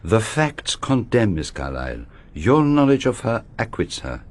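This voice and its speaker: A shrewd Belgian detective in a radio drama, low-pitched with a very lively rise and fall. He has a French-speaking accent and words each probing question with formal, courteous precision. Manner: formal, precise, deliberate phrasing. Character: shrewd, courteous foreign male private detective, probing and measured.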